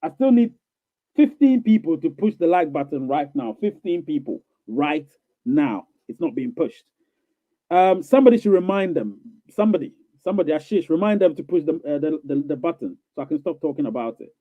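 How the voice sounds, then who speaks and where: A man chatting on a live stream, his voice pitched a little high and sounding thin and tinny, like it is coming through a phone. The sound is dry, with no room around it. He talks in a loose, rambling way, repeating himself and reassuring listeners. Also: big pitch swings, brisk, no echo. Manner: reassuring, coaxing. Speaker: livestream host reading viewer questions. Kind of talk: casual live-stream chat.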